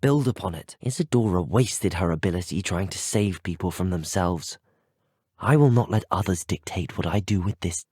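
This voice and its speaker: A man's voice: low voice, normal speed, darkish tone, breathy edge, no room sound, studio quality. A British man playing a polite, earnest, determined teenage student wizard in a video game, speaking articulate scripted dialogue. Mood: resolute. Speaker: video game player character.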